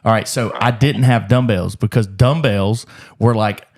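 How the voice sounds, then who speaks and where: A man hosting a casual podcast, telling stories quickly and rambling, with swearing along the way. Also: on the low side, darkish tone. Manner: fast, rambling, swears freely. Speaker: gym owner, podcast host.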